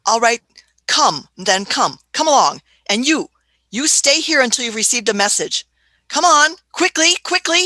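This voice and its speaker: A woman playing a brisk, authoritative, eccentric doctor in a radio play, fussy and given to clipped repetitions. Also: on the high side, big pitch swings, pushed and bright, no echo, exaggerated voice. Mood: urgent, testy. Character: brisk, authoritative, kindly but impatient eccentric time-travelling doctor.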